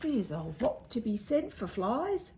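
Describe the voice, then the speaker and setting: A woman's voice: a little high, sing-song, a little slow, a little mellow, slight background noise. A woman voicing a sly, boastful male dingo in a storybook, gloating and sometimes chanting in a sing-song way. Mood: gleeful, smug.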